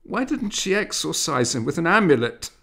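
A man's voice: fairly high pitch, sing-song, normal speed, gravelly, sharp tone, voice pushed up, full character voice. A man voicing superstitious, alarmed village women exclaiming in a storybook reading. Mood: anxious questioning. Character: frightened, superstitious village women gossiping at night. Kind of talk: acted storybook dialogue.

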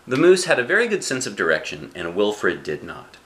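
A middle-aged man reads a story aloud with a widely swinging, animated delivery. He is playful and expressive, stepping out of the text for chatty asides and questions, as a dad does with a child. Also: on the low side, fairly quick. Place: small living room indoors. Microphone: camera a short distance away.

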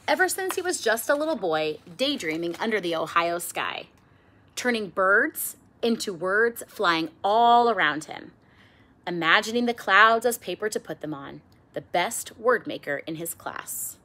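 A middle-aged woman reading a children's picture book aloud expressively, with theatrical emphasis and drawn-out words. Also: medium pitch, animated, bright, forceful tone. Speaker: storybook reader. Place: small indoor room with bookshelves.

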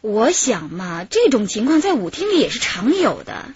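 A woman's voice: a little high, very animated, normal speed, breathy edge, heavily acted. An actress playing a chatty, flirtatious and defensive dance-hall woman in a radio drama, a coy way of talking. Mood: defensive, recounting. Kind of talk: radio drama dialogue and recounting. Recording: slightly muffled, music underneath.

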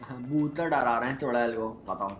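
A man's voice: medium pitch, normal speed, fairly bright tone. A young man bantering casually and teasingly as a co-player over game voice chat. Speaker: co-player in online role-play game. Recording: noisy, echoey game voice chat.

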